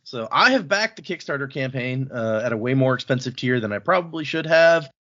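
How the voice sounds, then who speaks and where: Man, podcast host, casual conversation, medium pitch, dulled sound. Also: lively intonation, fairly quick, a bit bright, highs cut off.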